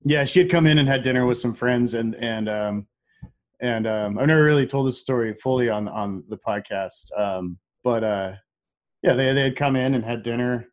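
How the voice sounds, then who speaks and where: A man co-hosting a podcast, chatting in a relaxed, hesitant way with frequent fillers. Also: mid-range voice, moderate pace. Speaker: podcast co-host. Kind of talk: casual chat.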